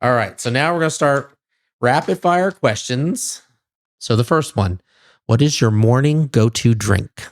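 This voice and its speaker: Man, podcast host, mid-pitched voice, dry close sound, upbeat, easygoing questions. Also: a little slow, no room sound. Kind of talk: casual interview, rapid-fire questions.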